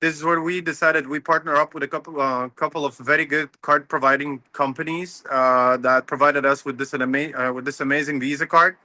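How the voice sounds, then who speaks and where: A man's voice: fairly high pitch, fairly even intonation, fairly quick, few pauses, sharp tone, dry recording. A man, a company founder explaining his product in an upbeat casual interview over a video call. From Latvia. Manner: upbeat, explanatory, slightly non-native phrasing. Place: home, early morning.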